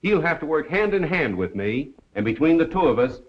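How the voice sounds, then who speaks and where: Cocky newspaper sleuth, brisk polished 1930s movie talk, muffled old soundtrack. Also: male voice, fairly high pitch, very animated, a little hiss or hum. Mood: earnest, defiant. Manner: brisk, self-assured. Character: confident, wisecracking male crime columnist and amateur sleuth. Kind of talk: 1930s film acting. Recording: dull lo-fi 1930s film soundtrack.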